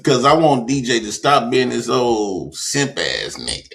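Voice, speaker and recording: A man's voice: mid-range voice, sing-song, unhurried, vocal fry, a bit bright, echoey room. A man bragging about himself in a casual group chat, laughing often and telling stories animatedly. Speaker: panel guest.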